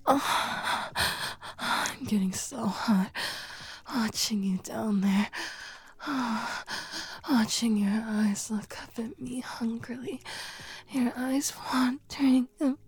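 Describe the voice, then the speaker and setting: A woman speaking in a soft, breathy whisper in an intimate audio roleplay, pleading and sleepy. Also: a little high, slow.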